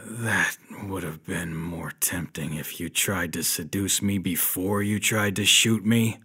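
An actor playing a wry, hard-boiled private eye in a noir audio drama, speaking in a dry, unruffled way. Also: male voice, deep voice, measured pace, sharp tone, slightly rough, full character voice. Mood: dry, guarded.

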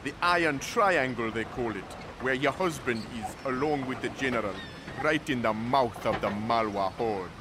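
An actor playing a world-weary, mocking soldier of fortune with a wry delivery in an audio drama. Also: male voice, mid-range voice, normal speed, background noise, lightly acted. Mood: scornful amusement. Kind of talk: full-cast audio drama acting.

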